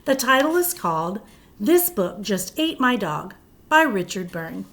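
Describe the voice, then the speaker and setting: A woman teacher reading a picture book aloud to young children, animated and expressive, with chatty asides. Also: medium pitch, unhurried, slight background noise.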